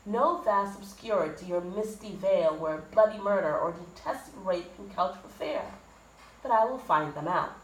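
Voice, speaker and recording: A woman performing a Shakespearean monologue as a dread spirit of vengeance from hell, stately, ominous and commanding. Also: on the low side. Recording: echoey room with faint noise.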